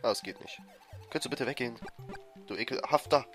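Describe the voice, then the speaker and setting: A man with a cold giving excitable, frustrated commentary over a platform game he is playing. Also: medium pitch, quick pace. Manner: frustrated, excitable, swears and laughs. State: has a cold, coughing. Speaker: let's player. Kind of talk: gameplay commentary. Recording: faint game music behind. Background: game music.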